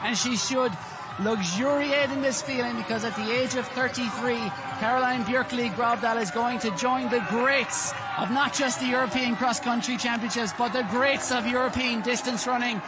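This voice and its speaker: A man's voice: very high voice, fairly even intonation, moderate pace. A man giving live race commentary as a sports commentator, emphatic and building through repeated phrases. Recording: noisy crowd, outdoor race broadcast.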